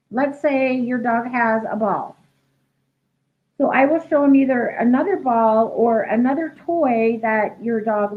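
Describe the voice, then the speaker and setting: A young woman, a dog trainer, answering viewer questions in a casual live chat, congested and losing her voice from a head cold. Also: fairly high pitch. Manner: chatty, many ums and restarts, reads questions aloud. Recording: tinny phone-like livestream audio.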